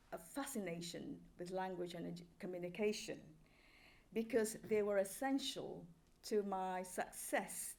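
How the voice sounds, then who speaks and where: A middle-aged woman from Malaysia giving a public lecture as a professor, speaking graciously with hesitant pauses. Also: medium pitch, a little mellow. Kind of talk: public lecture, semi-scripted. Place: lecture hall with a live audience.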